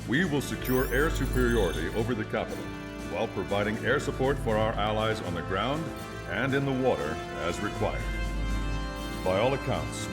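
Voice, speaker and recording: A man playing a resolute military commander in a video game, with a fairly low voice. He briefs in a formal, authoritative and deliberate way, with loud music behind him. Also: close to an everyday voice. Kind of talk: scripted military mission briefing. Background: loud cinematic score.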